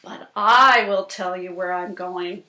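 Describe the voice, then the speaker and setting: Woman voicing a slow, sneaky little storybook fish. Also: fairly low pitch, noisy background, voice pushed down. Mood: self-assured. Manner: confiding, deliberate storybook delivery. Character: small sneaky fish, boastful, self-assured hat thief. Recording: roomy, echoing indoor sound.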